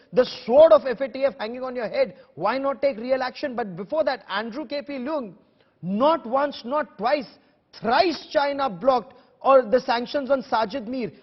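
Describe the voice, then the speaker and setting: A man's voice: very high voice, breathy edge, muffled recording. A man with an Indian accent hosting a TV news debate, insistent and confrontational, with emphatic repetition. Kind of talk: combative news debate moderating.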